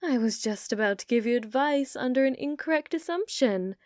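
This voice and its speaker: A woman's voice: sits high, lively intonation, brisk, a little mellow, dry recording, studio quality. A woman voicing an ancient, possessive female yokai in an intimate ASMR roleplay, coyly sweet yet menacing, with a teasing manner that often drops toward a whisper. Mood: teasing, curious.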